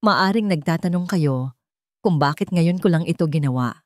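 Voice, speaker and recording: A woman's voice: low-pitched, normal speed, no room sound, clean studio sound, natural voice. A Filipino woman voicing a regretful, earnest male CEO in a romance audio story, speaking in a solemn confessional manner.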